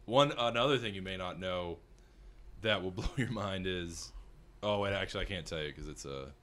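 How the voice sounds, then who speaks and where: A man in his thirties with a big voice, a filmmaker talking through his thoughts in a casual conversation, halting and restarting as he goes. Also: sits low, fairly bright tone. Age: 30s. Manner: rambling, halting, with frequent restarts. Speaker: filmmaker guest.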